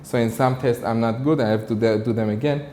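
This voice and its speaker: A man's voice: medium pitch, steady tone, a little fast, mellow. A man from Germany giving earnest, motivational personal talk as an interview guest, often repeating his phrases. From Germany, of Iranian background. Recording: roomy echo with faint noise.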